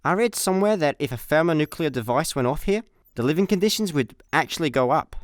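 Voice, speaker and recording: A man's voice: fairly high pitch, lively intonation, fast, close to an everyday voice. A man playing a laid-back, wry space layabout, droll and unimpressed, in a comic sci-fi radio play. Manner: droll, unimpressed, mock-dramatic.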